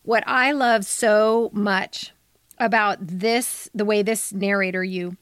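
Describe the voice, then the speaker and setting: A woman gives conversational commentary as a co-host, stressing words with emphasis. Her pitch sits in the middle, and the recording is close and dry. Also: fairly even intonation, takes it slowly, a bit bright, smooth, dry recording. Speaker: podcast co-host and writing editor.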